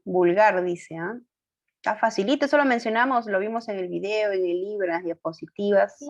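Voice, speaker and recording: A woman teacher leads an online class through quiz answers, her pitch sitting in an ordinary middle range. She keeps asking the students questions and nudging them toward the answer, confirming with short hums, and stops to correct her own mistakes with an apology. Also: brisk, muffled recording. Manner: questioning and coaxing students, fillers like "ujú"; corrects herself aloud, apologetic.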